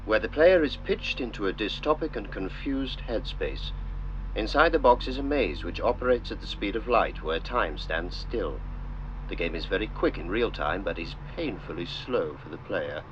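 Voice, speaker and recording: Male documentary narrator, old-fashioned delivery, low voice, solemn and measured; dull, noisy recording. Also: lively intonation. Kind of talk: scripted narration in old-style documentary manner. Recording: dull lo-fi sound with clear noise.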